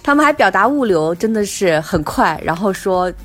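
Lively woman cohost, voice goes up and down a lot, chatty n amused, light music behind. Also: mid-range voice, moderate pace. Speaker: podcast co-host. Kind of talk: casual chat.

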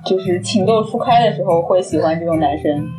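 A woman's voice: lowish voice, a little fast, low-quality recording. A woman co-hosting a casual film chat, conversational and inclined to retell scenes with quoted dialogue. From Shanghai, China. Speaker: podcast co-host. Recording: music playing behind the talk. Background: background music.

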